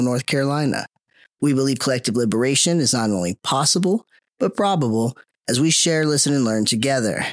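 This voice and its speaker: A man's voice: medium pitch, no echo. A man reading a scripted podcast introduction and disclaimer as an announcer.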